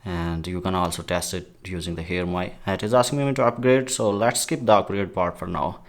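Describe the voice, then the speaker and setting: A man giving a casual, somewhat halting product demo as a gadget reviewer. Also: low-pitched, steady tone.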